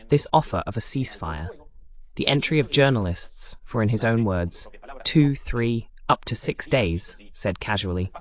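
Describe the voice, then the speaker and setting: A male commentator delivers a political monologue in a medium-pitched voice. His intonation is very lively, rising and falling often as he explains his points emphatically, using lists and rhetorical questions.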